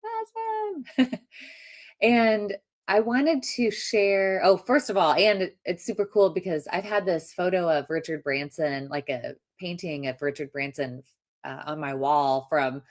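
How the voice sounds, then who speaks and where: A woman's voice: fairly low pitch, animated, measured pace. A woman chatting casually and enthusiastically as a participant in a group conversation. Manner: enthusiastic, effusive. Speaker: audience participant. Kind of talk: casual chat.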